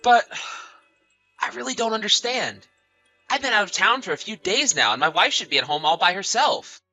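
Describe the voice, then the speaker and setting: Man acting as an anxious, bright-voiced husband. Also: sits high, big pitch swings, normal speed, faint music behind, full character voice. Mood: shocked disbelief. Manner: apologetic, questioning. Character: anxious, trusting husband often away on business. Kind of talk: scripted dialogue in an audio drama story.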